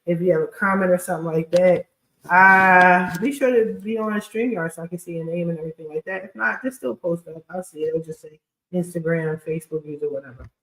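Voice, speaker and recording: A woman's voice: on the low side, brisk, a bit bright. Casting: female livestream host, scratchy voice, informal and blunt chatty delivery, recorded in an echoing space. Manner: informal, blunt, slangy talk. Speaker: podcast host and credit repair specialist. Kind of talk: casual chatty livestream monologue.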